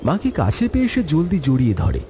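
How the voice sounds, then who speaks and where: A man's voice: on the high side, wildly swooping pitch, fairly quick, background noise. A man giving a scripted, dramatic narration of a horror story.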